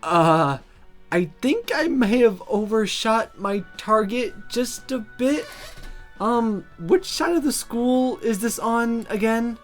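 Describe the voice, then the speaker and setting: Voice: mid-range voice, animated, slow pace, soft background music, pitched above the performer's own voice. A streamer voicing a flustered, awkward teenage girl mage from a visual novel, stammering and sarcastic. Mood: flustered, sheepish.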